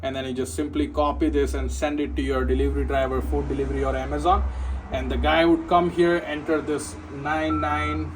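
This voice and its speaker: A man's voice: fairly high pitch, flat delivery, fairly quick. A man giving an informal, unscripted product walkthrough as an installer, explaining step by step and often checking in with the listener. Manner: explanatory, often ends points with a checking "right?". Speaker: security system installer giving a product demo. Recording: clear background ambient noise.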